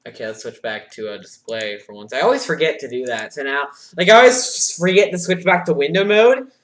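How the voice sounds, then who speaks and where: Male game streamer, excitable, bright voice, lively swooping pitch, roomy echo, keyboard clicks. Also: fairly quick. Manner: excitable, yelps and shouts in panic. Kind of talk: live gameplay commentary. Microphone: desk mic with audible keyboard.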